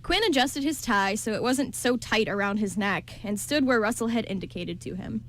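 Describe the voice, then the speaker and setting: A woman's voice: on the high side, lively intonation, moderate pace, fairly bright tone. A woman narrating a scripted story as part of a radio play.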